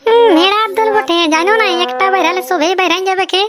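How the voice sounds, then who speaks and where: A woman voicing a cheeky, quick-witted young village bride-to-be in a cartoon, answering back smugly. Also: extremely high pitch, fairly bright tone, faint music behind. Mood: smug, teasing. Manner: cheeky, explanatory back-talk. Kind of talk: cartoon comedy dialogue.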